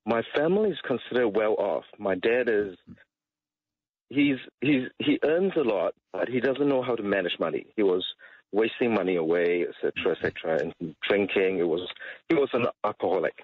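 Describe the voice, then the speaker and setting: A middle-aged man with a husky voice, talking casually and hesitantly as a guest on talk radio. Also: fairly low pitch, expressive, moderate pace, no echo. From Malaysia. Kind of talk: casual chat. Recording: dull, slightly muffled radio audio.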